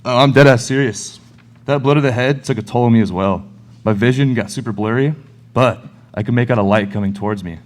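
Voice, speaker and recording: A man's voice: mid-range voice, a little fast, lightly acted. A young man acting as a cocky musician who retells a monster encounter in slangy, dry fashion for a radio play. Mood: dramatic recounting. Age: young adult. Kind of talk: scripted radio play storytelling.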